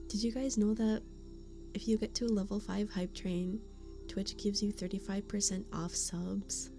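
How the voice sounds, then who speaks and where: A woman streamer chatting casually with her live chat, giggling often and sometimes dropping to a whisper. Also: on the low side. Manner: giggly, laughs often between remarks. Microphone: studio condenser mic.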